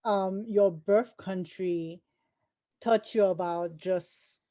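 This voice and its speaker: Slow-paced, mellow woman interviewer. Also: mid-range voice. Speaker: vlog host and interviewer. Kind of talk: conversational interview.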